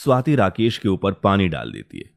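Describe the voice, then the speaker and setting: A man's voice: deep voice, huge pitch swings, normal speed, gravelly. A man narrating a scripted story as its storyteller. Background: faint music.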